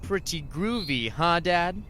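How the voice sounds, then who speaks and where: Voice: mid-range voice, animated, takes it slowly, a bit bright, slight background noise. An actor playing a stubborn, casual fourteen-year-old boy in a radio play. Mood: casual, helpful. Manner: casual, teenage slang.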